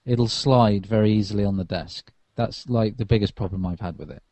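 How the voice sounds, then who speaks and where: Tired guy podcasting from the sofa, low mellow voice, dry close sound. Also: brisk, no room sound. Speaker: podcast co-host. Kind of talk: casual tech chat. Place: lying on a sofa indoors.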